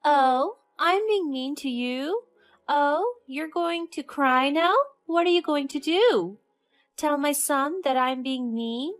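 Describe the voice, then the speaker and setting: A woman's voice: high voice, big pitch swings, unhurried, faint music behind, pitched above the performer's own voice. A woman voicing a scheming, spiteful older mother-in-law who talks in a mocking, babyish tone. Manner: mocking, babyish, fake-sweet.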